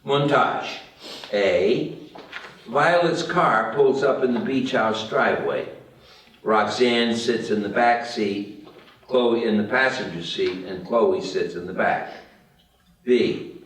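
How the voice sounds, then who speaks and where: Slow-paced man narrating in an echoey room. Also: on the low side, a bit bright, slight background noise. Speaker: stage directions narrator. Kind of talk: scripted screenplay narration at a table read. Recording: very echoey room, distant mic.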